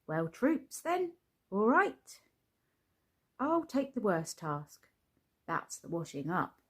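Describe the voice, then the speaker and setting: A woman's voice: medium pitch, big pitch swings, slow, a bit bright, slightly rough, heavily acted. A woman doing a hearty, bossy storybook dad. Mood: commanding. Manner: hearty, commanding. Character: jolly bossy father taking charge.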